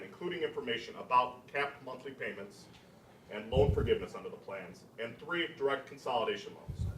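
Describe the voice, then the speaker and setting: A man's voice: mid-range voice, fairly bright tone. A young man reading scripted narration aloud as a student presenter, stumbling now and then. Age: young adult. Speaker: student presenter and narrator at a readers theater event. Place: room at a college library event. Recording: echoey, distant mic with faint noise.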